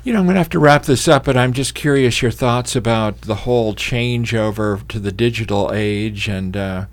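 A man's voice: medium pitch, normal speed, dry recording. A man hosting a radio talk show, asking easygoing, chatty questions with many fillers. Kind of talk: radio interview.

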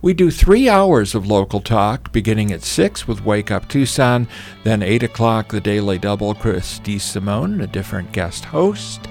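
A man's voice: fairly low pitch, big pitch swings, normal speed, creaky, hardly any pauses, darkish tone, faint music behind. A man hosting a radio talk show, asking easygoing, chatty questions with many fillers. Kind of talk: radio interview.

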